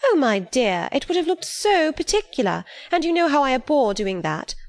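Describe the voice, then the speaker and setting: A woman reading an audiobook, voicing an affected, gushing young woman who talks in high-flown exclamations. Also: sing-song, moderate pace.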